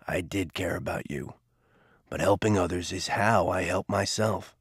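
A man voicing a quiet, regretful older mentor, speaking low and subdued. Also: normal speed, slightly rough, no room sound, clean studio sound.

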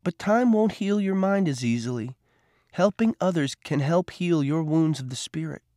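A man voicing a caring woman nurse, speaking gently in a raised, lighter voice. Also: on the high side, animated, normal speed, dry recording, studio quality, pitched above the performer's own voice, lightly acted. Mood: gentle.

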